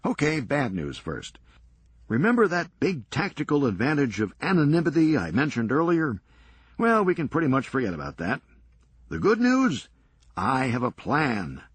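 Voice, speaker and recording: A man voicing a cool-headed, reckless ringleader in a crime story, brisk and unbothered. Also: medium pitch, huge pitch swings, breathy edge, no echo, pitched above the performer's own voice. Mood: scheming. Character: unflappable, cheerfully reckless male ringleader.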